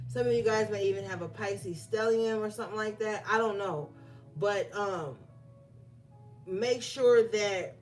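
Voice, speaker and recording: Woman tarot reader at a mid-range pitch with soft music underneath. Her pace is unhurried and she alternates between reading from the guidebook and chatting loosely. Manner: reads guidebook text aloud, then riffs conversationally with fillers. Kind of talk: collective tarot reading, casual address to viewers.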